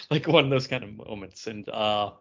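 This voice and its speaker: A man's voice: fairly low pitch, moderate pace, gravelly, breathy edge, dull audio. A man co-hosting a podcast in casual chat, rambling and often repeating himself as he goes. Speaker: podcast co-host. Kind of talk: casual comic book chat.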